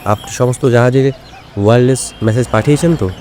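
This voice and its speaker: A man acting a shrewd, commanding older detective in an audio drama, authoritative and measured. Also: medium pitch, darkish tone, a little hiss or hum, natural voice. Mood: thoughtful.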